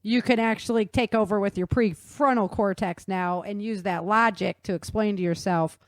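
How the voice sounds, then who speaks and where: A woman's voice: medium pitch, steady tone, normal speed, no echo. A woman hosting a casual conversation, speaking agreeably and informally. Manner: agreeable, brief backchannel responses. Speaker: podcast host. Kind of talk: casual chat.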